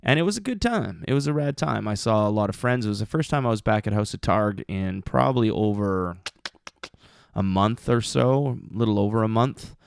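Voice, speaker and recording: Male podcast host, low and gravelly, mousy punk voice, rambling casual delivery with lots of fillers. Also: expressive, a little fast, no echo. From Canada. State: voice still recovering from screaming at a show. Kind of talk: casual chat.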